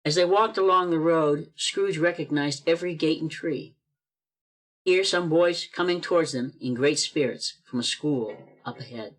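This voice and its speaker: A man's voice: fairly high pitch, normal speed, fairly bright tone, low-quality recording. A man narrating a radio play as a scripted storyteller.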